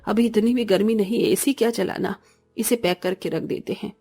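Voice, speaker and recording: A woman's voice: medium pitch, steady tone, moderate pace, vocal fry, dark tone, slightly rough. A woman playing a bossy middle-aged mother-in-law in a cartoon family drama, with a commanding, drawling manner.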